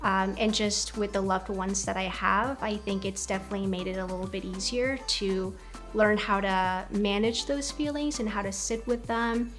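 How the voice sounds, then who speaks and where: A young woman in her twenties, from Ecuador, tells her story in an earnest, reflective interview. Her voice sits at a middle pitch, with soft music under it. She pauses often with small ums. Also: steady tone. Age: 20s. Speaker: graduate student. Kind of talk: documentary interview testimony.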